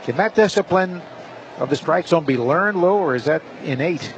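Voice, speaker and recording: A man works as a baseball play-by-play announcer, calling the game live. His voice sits a little high. Some outdoor ballpark noise runs faintly behind him. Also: lively intonation, moderate pace, highs cut off. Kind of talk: live sports commentary. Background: outdoor stadium ambience.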